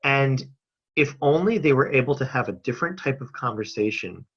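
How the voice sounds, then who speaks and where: A man talking earnestly and casually as an interview guest on a video call from home, thoughtful and halting with emphatic pauses. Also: medium pitch, lively intonation, fairly bright tone, husky. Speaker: interview guest, mental health advocate and filmmaker. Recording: slightly dull video-call audio.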